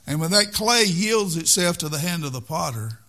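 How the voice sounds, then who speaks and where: A man's voice: fairly high pitch, expressive, moderate pace, bright, forceful tone. An older man preaching a sermon in an earnest way, repeating key phrases for emphasis.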